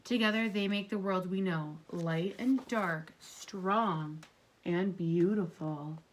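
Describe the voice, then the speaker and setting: A woman's voice: on the low side, takes it slowly, low-quality recording. A woman reading the part of a wise, kindly star in a children's storybook, with an earnest, uplifting manner. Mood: wise, affirming. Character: wise kindly star affirming light and dark.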